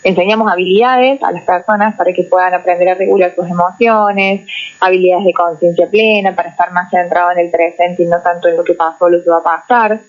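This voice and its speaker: A woman's voice: fairly low pitch, fairly even intonation. Woman psychologist, muffled radio sound. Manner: measured, explanatory, tags phrases with "¿no?". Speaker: psychologist guest. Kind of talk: radio interview, explaining. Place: radio studio.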